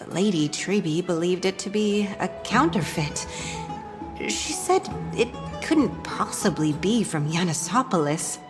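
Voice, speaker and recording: An actress playing a chatty, shrewd but obliging market stall merchant in a video game, with a conversational, hesitant way of talking. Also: female voice, fairly low pitch. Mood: puzzled. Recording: long reverb, music behind.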